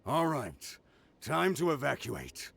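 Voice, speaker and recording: A man's voice: medium pitch, wildly swooping pitch, slow pace, gravelly, a crowd in the background, full character voice. A man voicing a resolute, grave and protective military commander in a video game. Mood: grave, measured.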